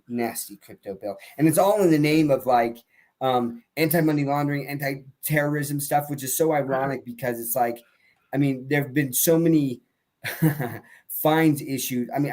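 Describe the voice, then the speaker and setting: A man's voice: mid-range voice, a little mellow. A man on a casual podcast panel who rambles with many restarts and gets heated as he makes his point. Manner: rambling, restarts often, heated about politics.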